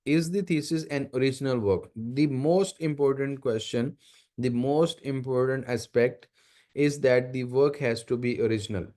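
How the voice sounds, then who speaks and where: A man's voice: medium pitch, warm tone. A man with a Pakistani accent, a native Urdu speaker, giving an academic lecture as a guest university lecturer, explaining step by step with emphatic repetition. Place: online video meeting.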